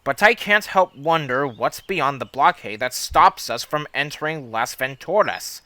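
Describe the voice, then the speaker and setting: A man voicing an earnest, dreamy little male train engine in a storybook, with plain gentle delivery. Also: fairly high pitch, animated, a little slow, no echo. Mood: wistful.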